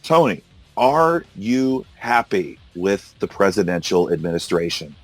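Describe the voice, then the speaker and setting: A hoarse man hosting a casual political discussion, arguing and incredulous. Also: sits low, very animated, a little slow, no room sound, faint music behind. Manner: argumentative, incredulous, laughs often. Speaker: podcast host. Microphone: call recorder.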